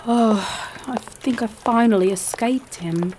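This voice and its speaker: A woman's voice: on the high side, unhurried, dark tone, a little hiss or hum, low-quality recording. A young woman playing a timid, nervous café guest in a radio drama. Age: young adult.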